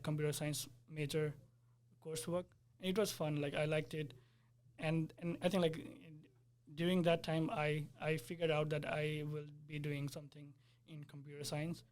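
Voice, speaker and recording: Young man, flat and earnest. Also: on the high side. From Nepal. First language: Nepali. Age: 20s. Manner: earnest, explanatory, searching for words. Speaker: software developer, interviewee. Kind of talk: casual interview.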